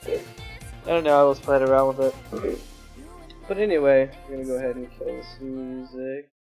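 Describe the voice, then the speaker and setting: A young man hosting a casual gaming stream, joking and self-mocking, with a reasonably high-pitched voice, talking into a home desktop mic. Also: fairly even intonation, normal speed, darkish tone. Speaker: stream host. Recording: background music.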